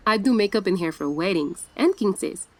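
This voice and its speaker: A proud makeup artist. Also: female voice, medium pitch, animated, measured pace, low-quality recording. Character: Jemida, warm Puerto Rican makeup artist.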